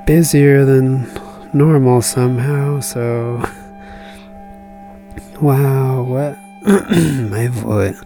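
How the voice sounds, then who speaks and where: Radio host, hoarse and froggy, slow, dark-toned, rambling sign-off, faint music under. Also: mid-range voice. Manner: rambling, self-deprecating, trailing off. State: hoarse, losing voice. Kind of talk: casual late-night radio sign-off.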